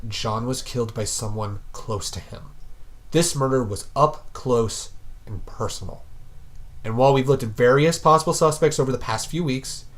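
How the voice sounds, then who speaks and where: A man's voice: mid-range voice, lightly acted. A man playing a relentless, short-tempered police detective interrogating a suspect in an audio drama, with a pointed, pressing manner. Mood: stern, methodical. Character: relentless male police detective, accusing, quick to anger.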